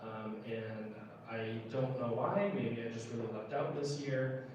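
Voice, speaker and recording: A middle-aged American man speaking informally as a candidate at a town hall, explaining things conversationally with halting pauses. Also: mid-range voice, lo-fi sound. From Minnesota, United States. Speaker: political candidate. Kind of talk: informal town hall speech, answering questions. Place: indoor public meeting room, recorded from a distance. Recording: roomy echo, far mic, clear background noise.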